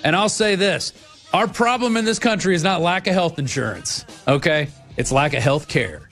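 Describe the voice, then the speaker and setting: A man's voice: fairly high pitch, lively intonation, a bit bright, faint music behind. Upbeat man hosting a call-in show. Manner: brisk, upbeat, conversational. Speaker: radio talk show host. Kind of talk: call-in radio talk.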